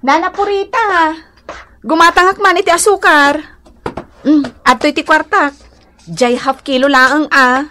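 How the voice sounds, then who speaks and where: High, slow, animated village wife. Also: female voice, slight background noise. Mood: casual. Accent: Ilocano. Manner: expressive, exclamatory. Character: humble, excitable village wife. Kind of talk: radio comedy drama acting.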